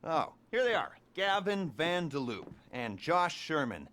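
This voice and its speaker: A man's voice: on the high side, sing-song, measured pace, fairly bright tone, a little hiss or hum. An actor voicing a nervous, rambling middle-aged criminal planner in a video game, wry and hedging as he briefs a crew. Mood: glib, nervous.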